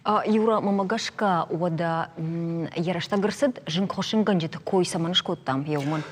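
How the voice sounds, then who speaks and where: A woman hosting a talk show in conversational style, speaking with hesitant, drawn-out pauses. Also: fairly low pitch.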